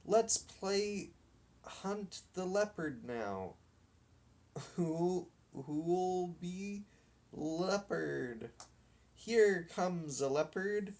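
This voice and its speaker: A man voicing a bossy, excited boy captain in a storybook, calling out taunting orders. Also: high voice, drawn-out words, pitched above the performer's own voice, exaggerated voice.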